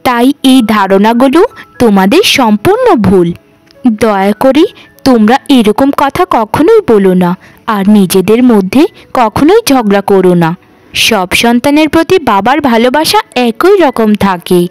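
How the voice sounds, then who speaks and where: Casting: woman playing dutiful youngest cartoon daughter, high register, gentle and conciliatory, dry recording with faint music. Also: expressive, moderate pace, dry recording, natural voice. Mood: earnest pleading. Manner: earnest, conciliatory. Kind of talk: cartoon voice acting.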